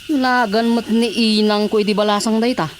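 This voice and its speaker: An actress playing a protective, feisty young daughter with an emphatic, direct radio drama delivery. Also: female voice, a little high, moderate pace, close to an everyday voice. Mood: wary, direct. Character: protective, feisty grown daughter, quick to defend mother. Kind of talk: Ilocano radio drama acting. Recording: steady background noise.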